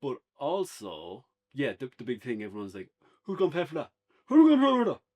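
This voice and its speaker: A man's voice: mid-range voice, huge pitch swings, fairly quick, mellow. An Irish man co-hosting a casual, unscripted review podcast, talking in an informal, conversational way.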